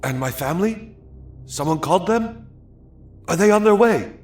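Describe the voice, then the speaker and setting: A man's voice: sits high, expressive. A man playing an injured, confused hospital patient with a volatile temper in an audio drama. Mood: weak, worried. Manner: halting when weak, explosive when angry. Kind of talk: dramatic audio fiction acting.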